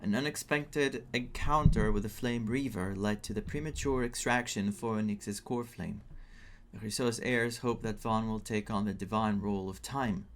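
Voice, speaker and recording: A young man with a Greek accent streaming a game, chatting excitedly, laughing often and reading quest text aloud. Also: lowish voice, moderate pace, a little hiss or hum.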